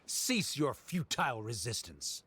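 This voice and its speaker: An actor playing a pompous, condescending academic official in a video game, lecturing formally. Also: male voice, medium pitch, very animated, measured pace, bright, forceful tone, slight background noise. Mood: defensive.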